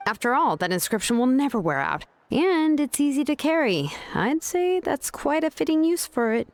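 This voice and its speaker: A woman voicing a calm, sensible adult woman in a fantasy video game, talking in a measured, gently wry way. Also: high-pitched.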